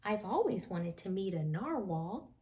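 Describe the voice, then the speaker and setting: A woman's voice: mid-range voice, big pitch swings, a little slow, dark tone, voice pushed down. A woman voicing a cheerful, goofy young male narwhal in a storybook, in a sing-song, dreamy manner.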